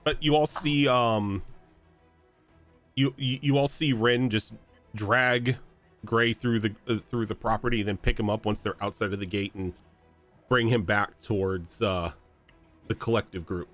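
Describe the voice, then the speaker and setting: A man's voice: lowish voice, steady tone, a little slow, pauses often, a bit bright, faint music behind. A man running a tabletop game as game master, narrating with many fillers and restarts over an online call. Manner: hesitant, full of fillers and restarts.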